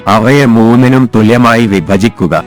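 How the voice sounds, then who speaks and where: A man voicing a wise old grandfather in an animated moral story, speaking in a measured, instructive way. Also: mid-range voice, lightly acted. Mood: advising. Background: background music.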